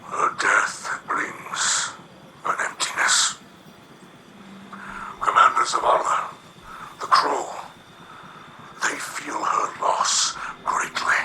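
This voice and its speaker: A man voicing a large, gentle alien captain in a game cutscene, humble and guilt-ridden, with a breathy, growling rasp and halting, formal speech. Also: noisy background. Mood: grieving, guilty. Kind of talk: dramatic video game cutscene acting.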